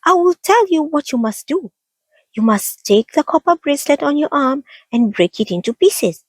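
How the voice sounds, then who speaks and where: A woman voicing a tiny, bossy mouse spirit with a high, squeaky voice in a storybook reading. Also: animated, moderate pace, no echo. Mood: scolding, instructing. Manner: scolding, instructing.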